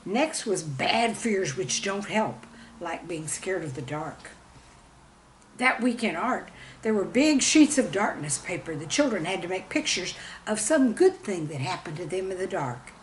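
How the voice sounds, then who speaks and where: A woman reading a scripted children's story with expressive, dramatic storybook emphasis. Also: lowish voice, unhurried, slight background noise, poor audio. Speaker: storyteller and author reading own stories.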